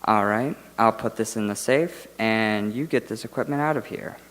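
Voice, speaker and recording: A man acts out a scheming dentist boss for an audio drama, calm and commanding. His lowish voice carries through a long, noisy hall reverb, businesslike and secretive. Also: moderate pace, close to an everyday voice. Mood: smug, calculating. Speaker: actor.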